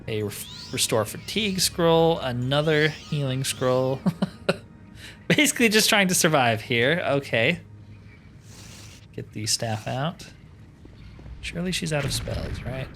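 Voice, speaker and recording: A man's voice: mid-range voice, a bit bright. A man giving casual playthrough commentary as a gaming host, thinking aloud in short, informal remarks.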